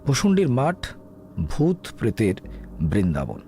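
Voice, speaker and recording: A man's voice: medium pitch, huge pitch swings, measured pace, mellow, slight background noise. A man narrating a scripted comic audio drama as a dramatic storyteller.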